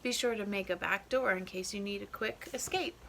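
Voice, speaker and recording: A woman's voice: medium pitch, a little fast, creaky, a bit bright, slight background noise. A woman teacher reading a children's picture book aloud, explaining things and checking in with young listeners.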